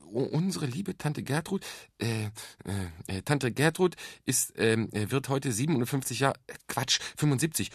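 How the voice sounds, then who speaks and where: A man's voice: on the high side, sing-song, takes it slowly, fairly bright tone, no echo, studio quality, voice pushed up, exaggerated voice. A man voicing an anxious young boy in a children's storybook, reciting with a hesitant, effortful manner. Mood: stammering, flustered. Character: nervous young boy, anxious but imaginative, reciting a poem.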